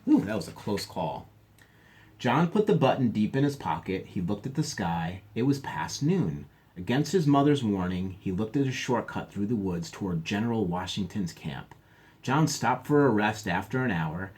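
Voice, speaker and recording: Low-pitched man reading a story aloud. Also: very animated, darkish tone. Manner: explanatory, pauses to clarify for listeners. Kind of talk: read-aloud storytelling with asides.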